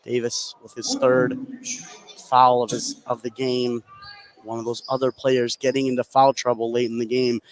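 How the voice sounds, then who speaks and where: A man giving conversational color commentary on a live high school basketball game from inside a gym. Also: level tone, moderate pace, rough recording. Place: indoor gymnasium commentary position. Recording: live broadcast with gym crowd noise.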